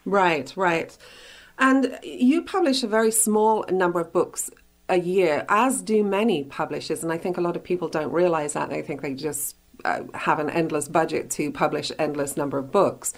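A woman's voice: fairly low pitch, moderate pace. A woman hosting a radio interview in a conversational style, putting questions and comments to a guest. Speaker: radio show host.

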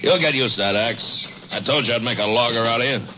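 An actor in a 1950s radio drama playing a gruff veteran logger who bullies his young partner, with a rough folksy drawl. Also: mid-range voice, fast talker, natural voice. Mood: boastful. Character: gruff veteran male logger, greedy bully. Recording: dull old radio transcription, hiss.

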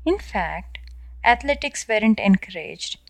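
A woman's voice: medium pitch, big pitch swings, measured pace, gravelly, dry recording, studio quality. Indian woman narrating a personal essay. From South India. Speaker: podcast essayist. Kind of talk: first-person scripted narration.

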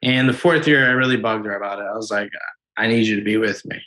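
A man's voice: fairly low pitch, steady tone, fast talker, sharp tone, low-quality recording. A man in his late twenties calling in, telling his side earnestly and easily. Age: 20s. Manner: earnest, easygoing storyteller. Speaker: caller. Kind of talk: casual storytelling.

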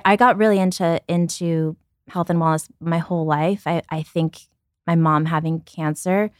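A woman's voice: on the low side, no room sound, studio quality. A woman in her thirties, originally from Ukraine and raised in Brooklyn, talking candidly as a podcast guest, often restarting her sentences. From born in Ukraine, raised in Brooklyn, New York. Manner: reflective, frequent restarts, light laughs. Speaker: guest, company founder.

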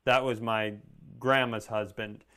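A man's voice: lowish voice, fairly even intonation, a little slow, a bit bright, clear, resonant tone. A man co-hosting a comedy podcast, telling rambling, wry stories in casual chat.

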